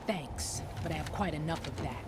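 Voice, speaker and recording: A woman's voice: low-pitched, normal speed, noisy background, natural voice. A woman playing a hardened, cynical soldier in a video game cutscene, speaking in a sardonic, confrontational way. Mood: scornful. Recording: music behind the voice.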